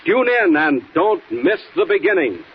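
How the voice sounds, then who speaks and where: A man's voice: a little high, big pitch swings, normal speed, noisy background. A man playing a grandiose 1940s radio serial announcer, emphatic and theatrical, on a tinny old recording. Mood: dramatic, grand.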